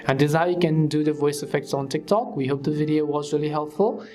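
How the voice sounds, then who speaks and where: A man's voice: fairly high pitch, fast, dark tone. A man presenting a step-by-step how-to tutorial as voice-over narration. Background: background music with guitar.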